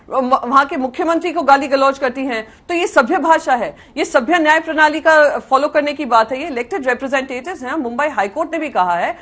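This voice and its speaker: A woman's voice: sits high, a bit bright. A woman arguing a political point emphatically and indignantly, mixing English words into her speech. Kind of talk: argumentative political debate.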